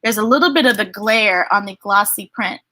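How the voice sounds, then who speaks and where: A woman's voice: mid-range voice, moderate pace, bright, forceful tone, a little hiss or hum. A woman hosting children's storytime, reading picture books aloud and chatting informally with viewers in an enthusiastic, folksy way. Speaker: children's storytime host and reader.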